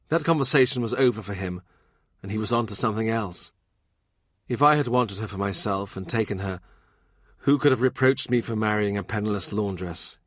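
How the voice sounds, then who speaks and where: A man's voice: lowish voice, no room sound, clean studio sound. A man narrating a historical novel as a scripted literary audiobook.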